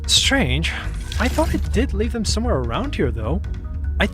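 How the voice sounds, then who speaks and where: Casting: actress for easygoing, wry young guide, mid-range voice, lively intonation, music behind, audio drama. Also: female voice, mid-range voice, normal speed, close to an everyday voice. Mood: confident, urgent. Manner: casual, wry, explanatory. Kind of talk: audio drama character acting.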